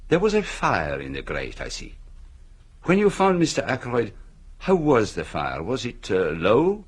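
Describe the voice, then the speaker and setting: An actor playing a polite, precise retired foreign detective in a radio drama, with a French-speaking accent and formal, deliberate manner. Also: male voice, medium pitch, huge pitch swings, breathy edge, full character voice. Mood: probing.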